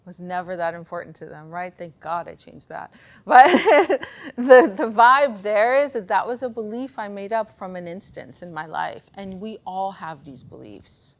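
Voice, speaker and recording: A woman speaking casually in a motivational talk, telling personal stories in an animated, conversational way. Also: medium pitch, a little slow. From Pakistan, of Afghan heritage. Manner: conversational, animated storytelling, acting out bits of dialogue. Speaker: speaker giving a conference talk on beliefs and performance.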